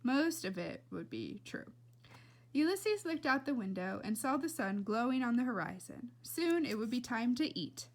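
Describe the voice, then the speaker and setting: A woman reads a children's story aloud. Her voice sits a little high, and her storytelling is expressive, with very lively rises and falls. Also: moderate pace. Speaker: reader.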